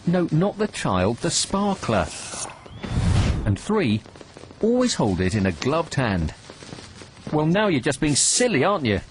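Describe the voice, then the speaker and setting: A jokey British safety film presenter gives instructions with mock exasperation. His pitch swings very widely over a clearly noisy soundtrack. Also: big pitch swings, unhurried, long pauses. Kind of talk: light-hearted instructional narration.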